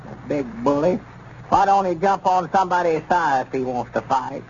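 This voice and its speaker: An actor playing a fretful, quarrelsome old country storekeeper in a 1930s radio comedy, in a whiny drawl. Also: male voice, on the high side, normal speed, lightly acted. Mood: blustering. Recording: muffled 1930s radio recording with faint hiss.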